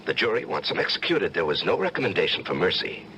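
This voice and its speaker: An actor playing a brooding, distinguished judge with dark urges, speaking gravely and with measured gravity in old-time radio drama style. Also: male voice, low voice, sing-song, lo-fi sound, a little hiss or hum, full character voice. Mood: weary, evasive. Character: brooding, distinguished male judge secretly drawn to murder.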